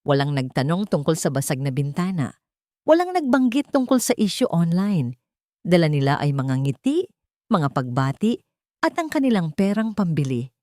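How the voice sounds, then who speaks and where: A woman's voice: fairly low pitch, very animated, normal speed, mellow, no echo, clean studio sound. A woman narrating a scripted dramatic story.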